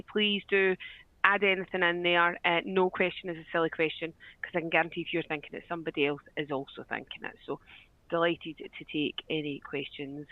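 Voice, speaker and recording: A woman's voice: fairly low pitch, no echo. A woman hosting an online business webinar, chatty and full of fillers over a video call. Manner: chatty, many fillers and restarts. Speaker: webinar host. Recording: tinny, dry phone-like line.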